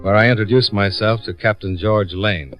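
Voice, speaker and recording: Guy doing old time radio detective, american, lowish voice, businesslike and clipped, vintage radio sound. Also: steady tone, normal speed, a bit bright, faint music behind, close to an everyday voice. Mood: matter-of-fact narrating. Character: tough, businesslike male freelance insurance investigator. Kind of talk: 1950s radio detective acting.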